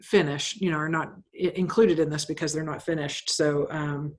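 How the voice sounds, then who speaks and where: A woman's voice: sits low, fairly even intonation, moderate pace. A woman playwright explaining her project informally, often rephrasing as she asks for feedback.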